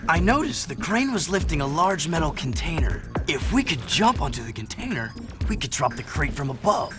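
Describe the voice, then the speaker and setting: An actor playing a tough, defiant young hero in a video game, terse and determined. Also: male voice, on the high side, sing-song, normal speed. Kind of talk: video game cutscene acting. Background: music.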